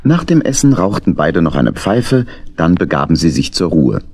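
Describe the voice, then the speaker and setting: A man's voice: low voice, sing-song, moderate pace, gravelly, a little mellow, a little hiss or hum. A man narrating a children's audio drama as a scripted storyteller.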